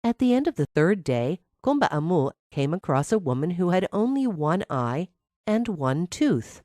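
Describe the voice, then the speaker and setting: Female storyteller for children's fairy tales: low register, very animated intonation, dry close sound. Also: normal speed, no echo. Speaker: storyteller, narrator. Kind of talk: scripted children's fairy tale narration.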